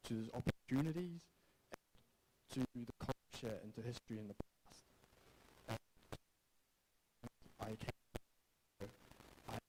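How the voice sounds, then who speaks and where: A man's voice: lowish voice, heavy background noise. A young man with a New Zealand accent giving a student presentation, hesitant with many ums. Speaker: graphic design student presenter. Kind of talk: prepared presentation.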